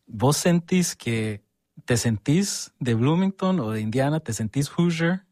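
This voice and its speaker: A man's voice: medium pitch, animated, measured pace, a little mellow, no room sound, studio quality. A man hosting an interview, asking long, rambling questions full of fillers. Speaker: host. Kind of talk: casual interview.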